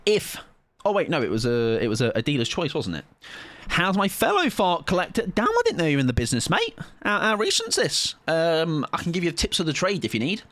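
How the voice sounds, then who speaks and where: A man's voice: brisk, no room sound. A young man streaming a game, chatting casually and playfully with viewers. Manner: chatty, playful, swings into high excited pitch. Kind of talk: casual live-stream chat while gaming.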